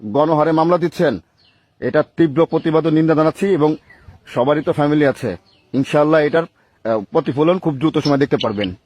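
A man's voice: a little high, a little fast, pauses often, darkish tone. A Bangladeshi man on a recorded phone call, delivering stern accusations in a declamatory, speech-like way as a group's leader. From Gopalganj region, Bangladesh.

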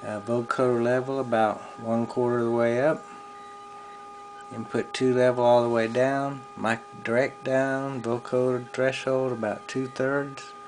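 A man talks slowly through a hands-on electronics demonstration in clipped fragments. His pitch is medium for a man. The handheld camera audio is noisy, with a clear hum behind him. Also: little pitch movement. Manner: terse, fragmentary step-by-step phrasing. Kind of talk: hands-on technical demonstration, off the cuff. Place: small cluttered indoor electronics workshop. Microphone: handheld camera microphone. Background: hum and test tone from audio gear.

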